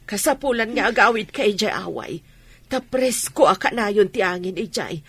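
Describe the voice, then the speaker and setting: A woman acting a stern, worried mother in a radio drama, husky-voiced, with a Filipino accent. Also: medium pitch, expressive, normal speed, fairly bright tone, dry recording. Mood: firm.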